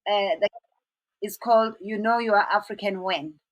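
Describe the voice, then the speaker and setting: A woman's voice: medium pitch, moderate pace, lo-fi sound. Playful woman host on a webcam. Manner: playful, teasing questions. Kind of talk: casual video-call chat. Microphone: webcam on a video call.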